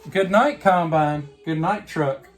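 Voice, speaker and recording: A woman reading a picture book aloud to a young child, pausing to ask simple questions. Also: sits low, faint music behind. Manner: simple questions and prompts addressed to a small child. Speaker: bedtime story reader.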